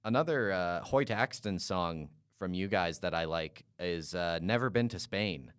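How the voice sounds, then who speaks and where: Low, smooth-voiced man interviewing. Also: expressive, measured pace, a bit bright, dry recording, studio quality. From Calgary, Canada. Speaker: interviewer, podcast host. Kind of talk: casual music interview.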